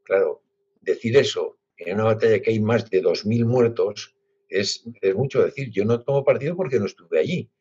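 A man's voice: fairly low pitch, expressive, a little mellow, breathy edge. A Spanish man in his sixties, a guest author, speaking reflectively with many restarts over a video call from his home library. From Spain, Basque Country. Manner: reflective, halting with frequent restarts. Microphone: laptop webcam on video call. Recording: dull lo-fi video call audio.

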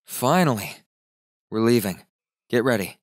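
A man playing a wry, world-weary con artist in a game fan dub, speaking in a dry, deadpan way. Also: medium pitch, measured pace, close to an everyday voice. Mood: dry, teasing.

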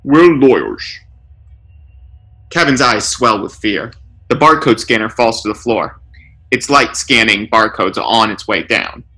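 A man reading a scripted comic short story as narrator, in a dramatic, deliberate way. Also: fairly low pitch, sharp tone. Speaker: story reader.

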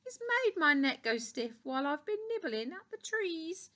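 A woman's voice: extremely high pitch, very animated, measured pace, pushed and bright, voice pushed up, exaggerated voice. A woman voicing a poorly, plaintive giraffe in a children's picture book.

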